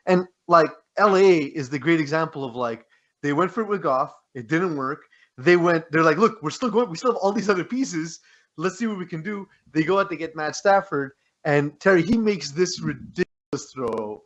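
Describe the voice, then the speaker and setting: Casting: male sports podcast co-host, fairly high voice, loose conversational delivery, dull lo-fi sound. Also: animated, normal speed. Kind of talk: casual sports chat.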